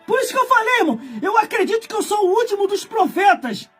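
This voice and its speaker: A man in his forties, a preacher from Brazil, delivering a fiery sermon with shouted, scolding emphasis and heavy repetition. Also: extremely high pitch, very animated, quick pace, faint music behind. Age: 40s.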